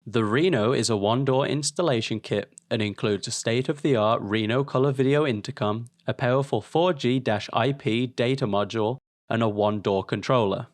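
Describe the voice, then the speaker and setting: A man reading a scripted promotional product voice-over in a polished, measured, presentational way. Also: mid-range voice, no room sound. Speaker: product video narrator.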